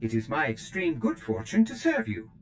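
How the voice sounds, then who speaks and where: A man's voice: on the low side, wildly swooping pitch, normal speed, vocal fry, slight background noise, exaggerated voice. An actor voicing a fussy, eager-to-please male steward droid who speaks formally and chirpily in a video game. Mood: eager servile.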